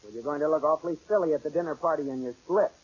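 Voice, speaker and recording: An actor playing an impatient, sarcastic husband in a 1940s radio comedy, exasperated and given to disbelief. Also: male voice, fairly high pitch, rapid-fire, a little mellow, husky. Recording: dull old radio recording.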